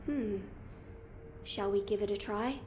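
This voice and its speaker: A woman's voice: medium pitch, slow pace, a little mellow, slight background noise, natural voice. A woman voicing an earnest young boy lord in a video game, formal and thoughtful. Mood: resolved. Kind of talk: video game cutscene acting.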